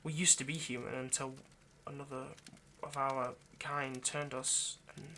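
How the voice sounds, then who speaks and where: A man playing an anxious, lovesick vampire kidnapper in an intimate audio roleplay, speaking in a halting, pleading way. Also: mid-range voice, level tone, bright, forceful tone, slight background noise. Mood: weary, explanatory. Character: anxious, lovesick male vampire kidnapper, centuries old but youthful.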